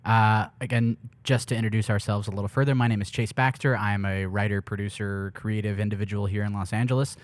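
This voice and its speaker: A man's voice: on the low side, little pitch movement, quick pace, hardly any pauses, no room sound. A man co-hosting a podcast in easygoing, casual chat. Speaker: podcast co-host.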